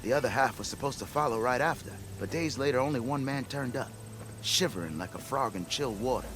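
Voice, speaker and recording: An actor playing a cheerful, boastful expedition leader in a video game, florid and flattering, breaking into sudden laughs. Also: male voice, medium pitch, a little fast, background noise, lightly acted. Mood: storytelling. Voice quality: theatrical, florid. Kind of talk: video game cutscene acting.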